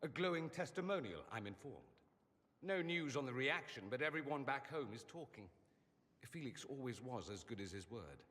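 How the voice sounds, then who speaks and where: An actor playing a vain, witty mage in a fantasy video game, with an arch, sardonic and theatrical delivery. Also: male voice, mid-range voice, lively intonation, normal speed, bright, forceful tone, in a large hall. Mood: subdued grief. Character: witty, vain mage from a fantasy empire, wryly self-aware. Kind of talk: dramatic video game dialogue.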